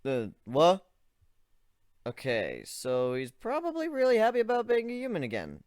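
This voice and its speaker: A man reading a story aloud in the first person, chatty and wry, his pitch swinging widely through the lines. The recording is close and dry, with no room sound. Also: huge pitch swings, a little fast, a little mellow, clean tone, no room sound. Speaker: story reader.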